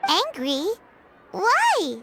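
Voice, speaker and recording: A woman voicing a tiny, childlike, excitable fairy companion in a video game, with squeaky, wide-eyed curiosity. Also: drawn-out words, loud music behind the voice, exaggerated voice. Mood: puzzled. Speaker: game character voice actor. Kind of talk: scripted video game dialogue.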